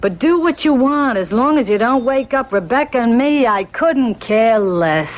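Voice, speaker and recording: A woman's voice: fairly high pitch, expressive, measured pace, very noisy. An irritable middle-aged wife in a radio play. She is blunt and indifferent, with very varied intonation. The sound is dull, like old broadcast tape. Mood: irritated, dismissive. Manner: nagging, blunt. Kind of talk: 1970s radio drama acting. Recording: dull vintage radio recording.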